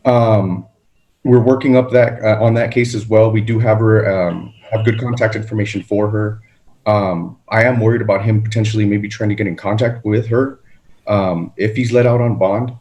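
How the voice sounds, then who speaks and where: Male prosecutor, fairly low register, halting courtroom argument, deferential, frequent self-corrections. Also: fairly even intonation, a little mellow, dull audio.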